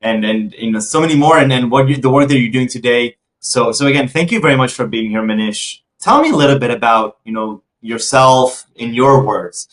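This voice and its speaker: Halting male interview host. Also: mid-range voice. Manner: halting, repeats words while forming questions. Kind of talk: conversational interview hosting.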